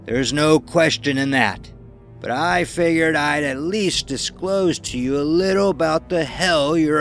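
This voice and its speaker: A man's voice: on the high side, pitched above the performer's own voice. A man voicing a smug, menacing old villain. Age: older. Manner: mocking, drawn-out, condescending. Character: sinister elderly bearded man, face bandaged, smug. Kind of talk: audiobook character voice.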